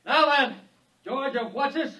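Casting: tough police chief, barking orders, very high forceful voice, off-mic, vintage radio. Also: male voice, measured pace, recorded from a distance, full character voice. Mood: stern, commanding. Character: gruff, commanding male police captain. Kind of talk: 1950s radio drama acting.

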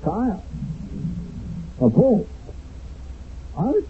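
A man's voice: high-pitched, big pitch swings, drawn-out words, full character voice. A man playing a brash, exasperated American soldier in a radio drama, speaking colloquially with an American accent. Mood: indignant. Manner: colloquial, protesting, incredulous. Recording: tinny, noisy old radio broadcast.

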